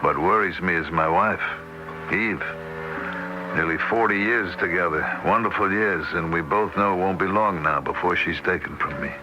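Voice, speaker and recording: Actor as a deep-voiced, worried older husband. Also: male voice, normal speed, lightly acted. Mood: weary worry. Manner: homely, soothing, questioning. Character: devoted, worried older husband, patient and protective. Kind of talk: old-time radio drama acting and first-person narration. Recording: hissy old radio broadcast recording.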